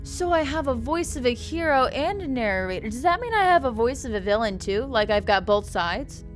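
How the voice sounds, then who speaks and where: A woman's voice: fairly high pitch, very animated, moderate pace, faint music behind. A young adult woman streaming a game with casual commentary, rambling and joking with stammering restarts.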